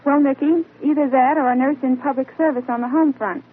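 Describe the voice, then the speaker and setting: A woman's voice: sits high, fairly even intonation, fairly quick. A young woman giving formal guest answers on a 1940s radio show. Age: young adult. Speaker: guest, nurse in training. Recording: tinny old radio broadcast.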